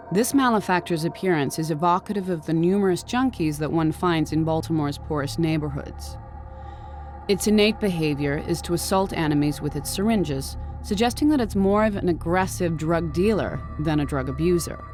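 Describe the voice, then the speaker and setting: A detached female archivist reads out creature files in a measured, clinical tone, voiced by a woman. Her voice sits fairly low. Soft game music plays beneath her. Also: close to an everyday voice. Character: calm female archivist briefing on monsters. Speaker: game bestiary narrator. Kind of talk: scripted documentary narration.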